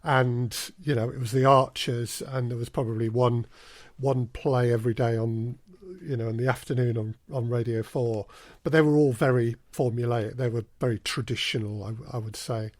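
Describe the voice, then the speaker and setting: A man speaking as a podcast guest, explaining things in a measured way with hesitant repetitions. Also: medium pitch, fairly even intonation, a little mellow, no echo. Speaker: guest, audio drama producer. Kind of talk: casual podcast interview.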